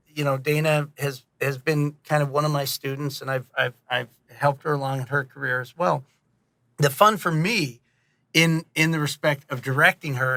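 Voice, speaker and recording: A man giving a casual interview as a director, halting and repeating his words, with some swearing. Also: a little high, sharp tone, no room sound. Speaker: audio drama director and guest.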